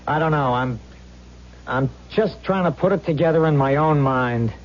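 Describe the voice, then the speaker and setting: A man playing a hesitant, puzzled police subordinate in a 1970s radio drama, halting and musing. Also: a little high, lightly acted. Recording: muffled, humming vintage radio recording.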